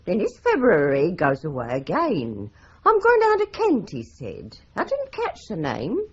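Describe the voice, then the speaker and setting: A woman's voice: on the low side, a little slow, husky, full character voice. An actress playing a talkative, stern older landlady in a radio drama, running on with advice. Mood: chatty. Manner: chatty, lively, runs on with advice. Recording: dull lo-fi broadcast recording.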